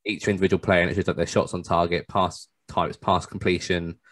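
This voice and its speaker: A man's voice: low-pitched, level tone, brisk, fairly bright tone, no room sound. A man co-hosting a gaming podcast in casual chat, often stammering and repeating words as he starts. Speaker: podcast co-host discussing a video game.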